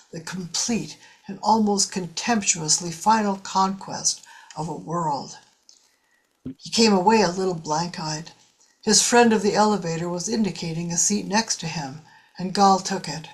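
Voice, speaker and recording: A woman with a husky voice reading scripted story narration for a radio drama. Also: on the low side, measured pace, low-quality recording.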